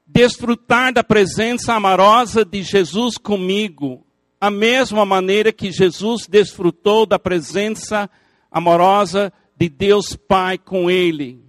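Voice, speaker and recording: An older man with a native English speaker's lilt delivers an earnest sermon, building intensity through repeated phrases. His voice sits high for a man and sounds close and dry, with no room around it. He breaks in with playful asides to the listeners. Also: fairly even intonation, takes it slowly, no echo. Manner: earnest preaching with emphatic repetition and rising intensity. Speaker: pastor.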